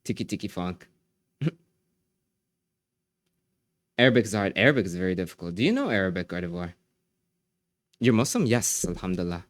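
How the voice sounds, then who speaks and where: Brief: male streamer, low voice, dry desk mic, easygoing chat replies. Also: big pitch swings, fairly quick, pauses often, no room sound. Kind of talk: casual live-stream chat.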